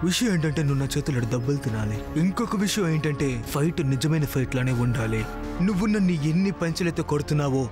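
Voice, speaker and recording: A man's voice: fairly high pitch, animated, quick pace, over music, close to an everyday voice. A man voicing a boastful, scheming robber villain in a cartoon. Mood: gloating. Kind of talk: cartoon dub acting.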